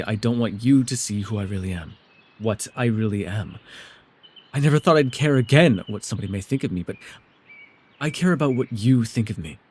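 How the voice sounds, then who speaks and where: A man playing a reclusive, centuries-old elf in a fantasy audio roleplay, guarded and awkward, with an earnest, halting delivery. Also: medium pitch, a little mellow, breathy edge.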